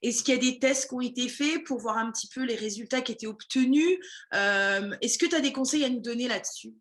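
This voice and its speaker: A chatty woman interviewing a guest in a relaxed, conversational way, full of little fillers and restarts. Her voice sits a touch higher than most women's. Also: a little fast. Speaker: language school director, interviewer. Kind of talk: casual interview chat.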